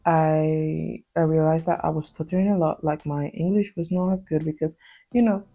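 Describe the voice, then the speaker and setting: A woman's voice: deep voice, steady tone, moderate pace, warm tone, faint music behind. A young woman from Spain, a Spanish native speaker with a Spanish accent, talking through a personal story in a hesitant, filler-heavy casual vlog style. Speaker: vlogger. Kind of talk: confessional casual vlog talk.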